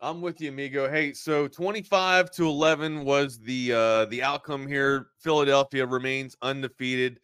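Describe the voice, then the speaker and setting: Male co-host, bright and forceful, loose slangy sports chat, dry close mic. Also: mid-range voice, steady tone, normal speed, hardly any pauses, clear, resonant tone, dry recording. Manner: loose, slangy, says man and bro. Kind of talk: casual sports talk.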